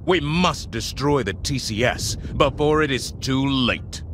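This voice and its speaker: An actor playing a grave, zealous military officer in a video game, speaking in a solemn, formal, dramatic way. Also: male voice, lively intonation, unhurried, a bit bright. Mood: urgent, solemn. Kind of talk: scripted video game voice acting. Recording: rumbling ship engine noise.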